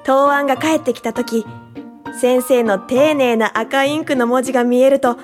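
Actress as admiring young woman student in radio drama: high, polite, eager voice over music. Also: steady tone, normal speed, close to an everyday voice. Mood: fond, admiring. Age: young adult. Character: earnest, admiring young female university student. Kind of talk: radio drama acting and reminiscing narration.

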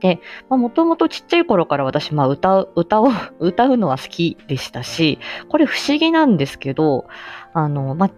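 Low-voiced woman in casual rambling chat. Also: sing-song, normal speed, darkish tone. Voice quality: mature, low-set voice. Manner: chuckles often between phrases. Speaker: live stream host and amateur voice actor. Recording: dull lo-fi audio, faint music behind.

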